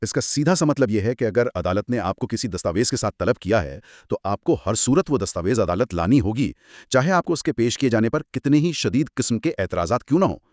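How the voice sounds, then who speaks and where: A man's voice: medium pitch, animated, brisk, a little mellow, dry recording, studio quality. A man with a Pakistani accent explaining law in an assured, emphatic, conversational teaching style. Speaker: legal explainer on a two-person show.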